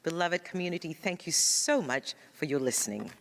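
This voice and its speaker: A woman's voice: sits low, animated, normal speed, slight background noise. Ghanaian woman in a reverberant hall. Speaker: church practitioner. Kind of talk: church service announcements.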